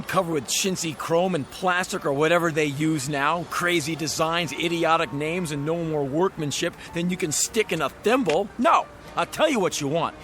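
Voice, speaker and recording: A man's voice: on the high side, fairly bright tone, slight background noise. An actor playing a glib, pushy used-car salesman in a radio drama, with florid, bombastic patter.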